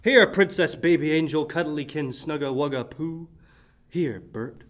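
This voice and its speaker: A man playing a silly, polite, excitable Canadian police sidekick in a comic radio play, tagging sentences with "eh". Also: on the high side, unhurried, heavy background noise, lightly acted. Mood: gushing. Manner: tags sentences with "eh".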